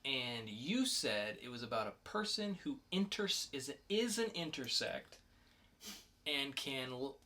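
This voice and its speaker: A man works as co-host of a casual comics podcast. His mid-range voice is bright and forceful. He talks in a loose, conversational way. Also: mid-range voice, very animated, takes it slowly.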